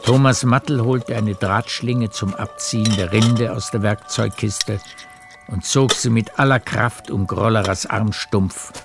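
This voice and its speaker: A male narrator reads literary prose for a radio drama in a low, gravelly voice. His phrasing is measured and deliberate, and music plays clearly behind him. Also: fairly even intonation.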